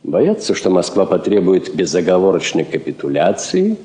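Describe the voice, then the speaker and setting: An actor playing an elderly foreign minister in a 1960s radio drama, a wry, world-weary and cautious diplomat. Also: male voice, deep voice, moderate pace. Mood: guarded. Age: older. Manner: wry, aphoristic, expressive. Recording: roomy vintage drama recording.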